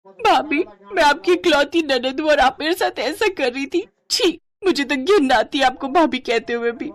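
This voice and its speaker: A woman's voice: a little high, animated, moderate pace, voice pushed up, lightly acted. A woman voicing an arrogant, scheming young sister-in-law in a melodramatic, accusing manner for a story. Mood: angry, tearful accusing.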